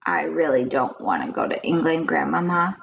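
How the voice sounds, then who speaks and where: A woman's voice: sits low, sing-song, normal speed, creaky, fairly bright tone, dull audio, slight background noise, full character voice. A woman voicing an anxious, curious young grandchild in a children's storybook, asking questions with wide-eyed eagerness. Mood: anxious, shocked. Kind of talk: children's storybook read-aloud.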